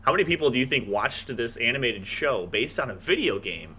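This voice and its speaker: A man's voice: mid-range voice, animated, brisk, bright, forceful tone, a little hiss or hum. A man hosting a casual podcast discussion, steering the topics in an emphatic, playful way. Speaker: podcast host.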